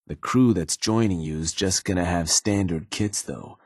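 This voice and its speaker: A man playing a gruff, shrewd raider strategist in a video game, with a husky voice and a laid-back, businesslike, colloquial delivery in clipped phrases. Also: low-pitched, moderate pace, darkish tone, dry recording, studio quality. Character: gruff, shrewd male raider strategist advising his gang boss. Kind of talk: video game character dialogue.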